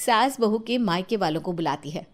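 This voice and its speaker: A woman's voice: mid-range voice, expressive, moderate pace, soft background music. A woman narrator reading a scripted family story.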